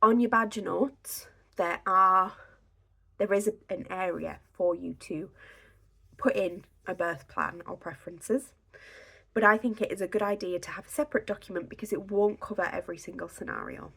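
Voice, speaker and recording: A young woman with a slightly raspy, croaky voice, chatting casually to a camera on a tripod at home, giving pregnancy advice. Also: medium pitch, moderate pace, bright, forceful tone. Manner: chatty, filler-heavy, reassuring. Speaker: pregnancy vlogger. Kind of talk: casual instructional talk to camera.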